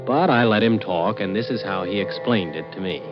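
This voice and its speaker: An actor playing a scheming, greedy crook who also narrates, persuasive and glib, in 1940s radio suspense style. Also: male voice, lowish voice, moderate pace, lightly acted. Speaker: protagonist and narrator. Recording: dull old radio recording with music.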